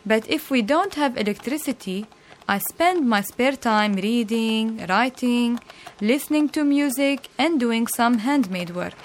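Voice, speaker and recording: A woman's voice: a little high, slight background noise. Hopeful teenage girl reading her diary. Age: teens. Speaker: student blogger. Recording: with background music.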